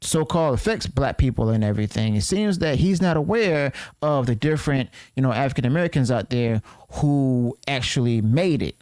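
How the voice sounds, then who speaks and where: A man's voice: mid-range voice, animated, moderate pace, hardly any pauses, darkish tone, no room sound. A man giving casual reaction commentary, leaning on "okay" and "and stuff" as fillers.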